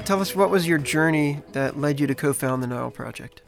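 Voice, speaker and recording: A man's voice: on the high side, brisk, gravelly, soft background music. A man interviewing a guest, asking brief questions and giving short, encouraging replies.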